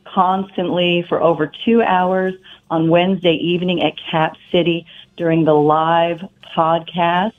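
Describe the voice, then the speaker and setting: Hoarse woman on a tinny phone line. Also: fairly low pitch, level tone, measured pace. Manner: earnest, grateful. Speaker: caller. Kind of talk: phone-in chat.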